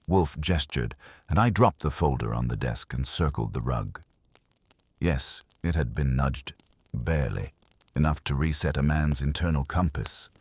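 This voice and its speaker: A man's voice: extremely low pitch, breathy edge. A man narrating a first-person detective story in a wry, hard-boiled manner. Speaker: audiobook narrator.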